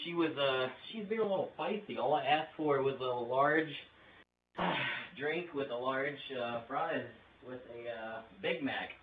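A man's voice: on the high side, a bit bright. A young man making a casual prank phone call, rambling crudely in a hesitant way. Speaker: video creator making prank calls. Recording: roomy, distant mic with background noise.

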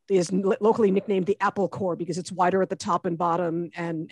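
A woman's voice: lowish voice, darkish tone, slightly rough, no echo. A middle-aged woman co-hosting a podcast, casually discussing films on a headset mic. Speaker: podcast co-host. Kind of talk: casual, unscripted film discussion. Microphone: headset on a video call.

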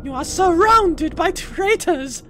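A let's player plays a creepy game doll with a possessive streak. She coaxes and threatens in a high voice, drawing words out and sliding across her range. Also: expressive, a bit bright, faint music behind, exaggerated voice. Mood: accusing. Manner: coaxing, menacing, drawn-out.

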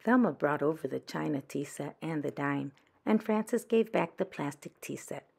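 A woman's voice: low-pitched, animated, moderate pace, creaky, a little mellow, breathy edge. A woman reading a children's picture book aloud as a storyteller.

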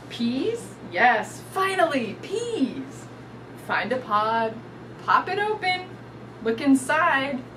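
A middle-aged woman reading a picture book aloud to young children in an animated, sing-song way. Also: sits high. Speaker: storytime reader. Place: indoors in front of a curtain backdrop. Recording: distant mic with clear background noise.

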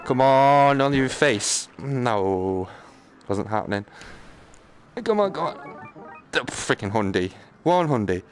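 A man's voice: mid-range voice, animated, slow pace, soft background music. A man giving casual live game commentary, muttering and exclaiming to himself in a jokey, self-deprecating way. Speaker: gaming streamer. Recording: noticeable background noise, game ambience.

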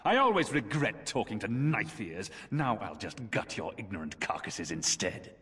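A man's voice: on the low side, big pitch swings, normal speed, fairly bright tone, in a large hall. An actor playing an irritable, scornful henchman in a fantasy video game, snapping with contempt.

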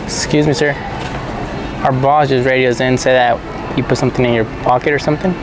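A man's voice: medium pitch, fairly even intonation, brisk, over music, rough recording. A young adult man speaking politely and informally as a pretend store worker, recorded in a big store aisle. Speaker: prankster posing as a store security worker. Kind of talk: casual prank exchange with strangers. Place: large store aisle, indoors.

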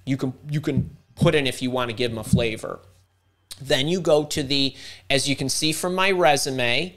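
A middle-aged man hosting a live career workshop over a video call, talking fast and interrupting himself as he thinks aloud. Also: medium pitch, a bit bright. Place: home room indoors. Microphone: video call webcam.

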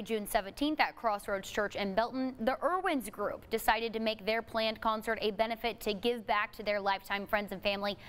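A woman's voice: medium pitch, a bit bright, clean tone. A young woman reporting the news in a TV studio, with a polished, articulate delivery. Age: young adult.

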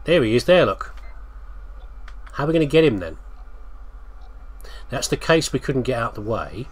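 A man's voice: medium pitch, very animated, moderate pace, breathy edge. A British man giving casual, playful game commentary, chatting to the character and asking himself questions. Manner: playful, chatty, asks himself questions, reads on-screen text aloud. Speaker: let's play host.

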